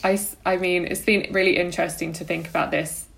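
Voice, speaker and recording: A woman's voice: fairly low pitch, steady tone, fairly quick, vocal fry, a bit bright, low-quality recording. A woman co-hosting a podcast, talking casually and informally in loose, meandering phrases. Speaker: podcast co-host.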